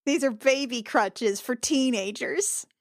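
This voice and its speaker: A woman's voice: high-pitched, slow pace, no echo. Casual woman roleplaying in a dry-sounding room. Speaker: player in a tabletop game podcast.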